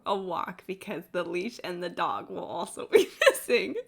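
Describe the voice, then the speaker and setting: A woman's voice: on the low side, normal speed, vocal fry. A woman streamer giving casual live game commentary, joking and irreverent, swearing casually. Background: game music.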